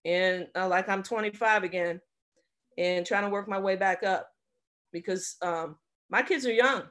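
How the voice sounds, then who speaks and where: A woman in her fifties tells a personal story on a laptop video call from her home. She talks earnestly and haltingly, restarting her sentences as she goes. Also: mid-range voice, a bit bright. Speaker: basketball coach. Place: home room, indoors. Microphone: laptop webcam on a video call.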